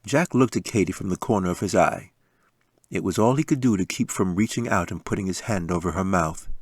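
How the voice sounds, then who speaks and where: A man's voice: sits low, brisk, breathy edge, no echo, studio quality. A man reading a fantasy audiobook as a scripted story narration. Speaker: author reading own audiobook.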